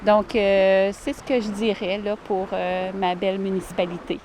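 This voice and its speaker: A woman, answering interview questions warmly and earnestly outdoors by a lake, with many fillers and restarts. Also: medium pitch, level tone, moderate pace, a little hiss or hum, poor audio. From Ottawa, Canada. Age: 40s. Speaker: village mayor, interviewee.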